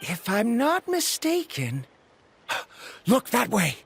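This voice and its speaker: Eager, high-voiced young scholar. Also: male voice, wildly swooping pitch, takes it slowly, gravelly, fairly bright tone, a little hiss or hum, exaggerated voice. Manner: earnest, precise, lecturing. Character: eager, pedantic young male scholar researching ancient legends. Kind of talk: video game voice acting.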